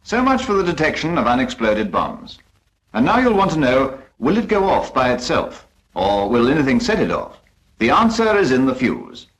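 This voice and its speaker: A man's voice: mid-range voice, huge pitch swings, normal speed, fairly bright tone, rough recording. A British man narrating a 1940s instructional film from a script in a formal, precise, instructive manner.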